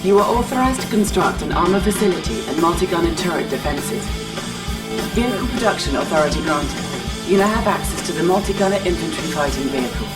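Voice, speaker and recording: A woman's voice: lowish voice, lively intonation, fast talker, close to an everyday voice. A woman playing a cool, efficient battlefield announcer in a video game, formal and precise. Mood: impersonal. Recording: slightly distant, clear music behind.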